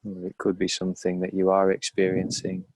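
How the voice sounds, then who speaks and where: A man leading a guided meditation in a calm, unhurried manner with measured, pausing phrases. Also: low-pitched, breathy edge, no echo.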